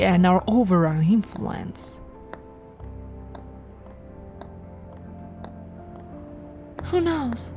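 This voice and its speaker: A woman voicing a possessive, seductive, haughty vampire noblewoman in an intimate roleplay, with theatrical drawn-out words and breathy whispers. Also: on the low side, darkish tone. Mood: haughty, elegant. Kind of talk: intimate ASMR audio roleplay.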